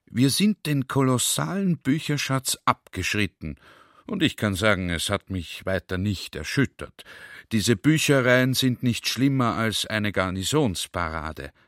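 Low-voiced, garrulous army general. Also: male voice, animated, fairly bright tone, no room sound, clean studio sound. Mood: wry, confiding. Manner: chatty, emphatic storytelling, drawn-out quoted speech. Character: garrulous, naively sly army general telling his adventure. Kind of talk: literary radio play monologue.

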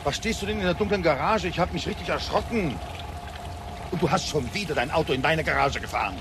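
A man's voice: fairly high pitch, fast talker, noisy background, close to an everyday voice. An actor playing a bitter, exasperated middle-aged father who is easily enraged, in a German radio drama. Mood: irritated, suspicious. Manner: exasperated, scolding, brooding.

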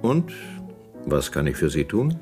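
An actor playing a brusque, exclamatory publishing director in a radio drama. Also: male voice, very deep voice, huge pitch swings, unhurried, music in the background, heavily acted. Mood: brusque, taken aback. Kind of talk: German radio drama acting.

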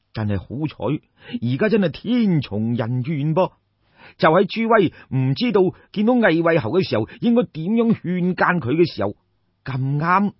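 A man's voice: fairly high pitch, slightly rough. A man with a Cantonese accent telling a story in a colloquial, exclamatory, theatrical audiobook style. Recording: dull, roomy lo-fi recording.

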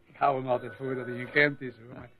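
An actor playing a gossipy, grumbling village doctor in a radio play. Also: male voice, mid-range voice, flat delivery, fast, bright, forceful tone, faint music behind, close to an everyday voice. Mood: chatty, grumbling. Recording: muffled, phone-like lo-fi radio play.

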